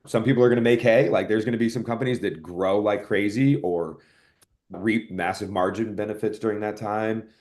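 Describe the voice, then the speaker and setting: Low-voiced man explaining business analytically. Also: fairly even intonation, fairly quick, darkish tone. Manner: self-correcting, thinking aloud, analytical. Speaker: podcast guest, software product executive. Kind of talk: conversational expert explanation in an interview.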